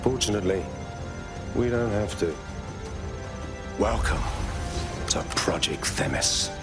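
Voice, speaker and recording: Imposing low-voiced authoritarian security chief. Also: male voice, animated. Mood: cold, imposing. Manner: measured, grandiose, declamatory. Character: cold authoritarian male security chief presenting deadly drones. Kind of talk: scripted dramatic presentation speech. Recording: loud music behind.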